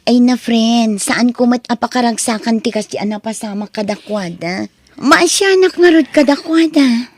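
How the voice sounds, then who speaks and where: A woman's voice: a little high, moderate pace, darkish tone, no echo. A woman playing a humble, forgiving young woman and dutiful daughter in an Ilocano audio drama. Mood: concerned.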